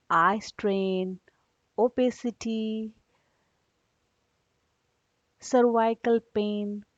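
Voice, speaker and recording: A woman's voice: mid-range voice, no echo, highs cut off. A woman teaches a children's lesson, reading aloud and explaining in simple, repeated phrases with frequent checking questions.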